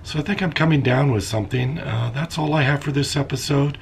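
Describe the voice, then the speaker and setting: Hoarse, raspy man with a gravelly voice. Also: medium pitch, slight background noise. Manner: unhurried, thinking aloud with fillers. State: hoarse, losing his voice. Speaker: vlogger. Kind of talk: casual vlog narration while working. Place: inside a step van.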